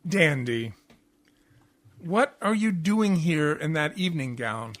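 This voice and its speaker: A man acts out an exasperated husband behind bars in a vintage radio comedy. The voice is very bright and forceful, with a dry, close sound. He grumbles with sour sarcasm. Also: fairly high pitch, animated, slow, no room sound. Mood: sullen sarcasm. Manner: grumbling, sardonic. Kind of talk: 1940s radio comedy acting.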